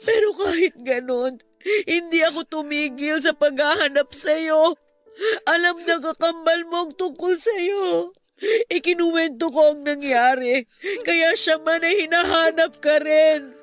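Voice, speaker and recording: A woman's voice: very high-pitched, unhurried, soft background music. A woman playing an anguished, loving, middle-aged mother in a Filipino radio drama, with a tearful, pleading delivery. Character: anguished, loving mother reunited with her long-lost son.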